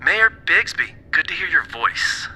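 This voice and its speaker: An actor playing a controlling, menacing political backer in an audio drama, pointedly polite. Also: male voice, high-pitched, animated, measured pace, bright, forceful tone, faint music behind, heavily acted, poor audio. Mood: coolly threatening. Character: menacing male moneyed backer, coolly controlling.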